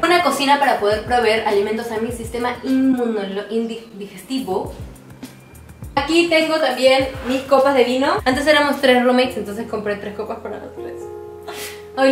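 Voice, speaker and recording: A woman in her twenties with a Peruvian accent, chatting casually and playfully with mock seriousness as she gives a house tour. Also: on the high side. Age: 20s. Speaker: vlogger giving a house tour. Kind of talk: casual, joking house-tour chat to camera. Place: indoors, small hard-walled rooms of a house. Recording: echoey rooms on a camera mic. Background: clear background music.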